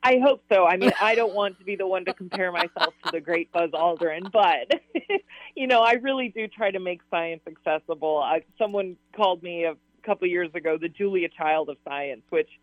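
A slightly hoarse woman talking eagerly over a tinny, phone-like line. She laughs often and strings long, rambling sentences together. The sound is dry and close, with no room around it. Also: fairly low pitch, normal speed, no echo. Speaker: science advisor and author, interview guest. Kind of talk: casual radio interview answers.

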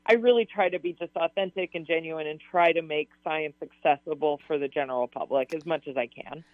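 A slightly hoarse woman talking eagerly over a tinny, phone-like line. She laughs often and strings long, rambling sentences together. The sound is dry and close, with no room around it. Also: low-pitched, brisk, no room sound. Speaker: science advisor and author, interview guest. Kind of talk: casual radio interview answers.

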